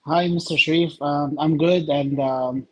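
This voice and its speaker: A man with an Egyptian accent giving casual interview answers in short, halting phrases with many pauses. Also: fairly high pitch, fairly even intonation, a little mellow. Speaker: interview guest, filmmaker.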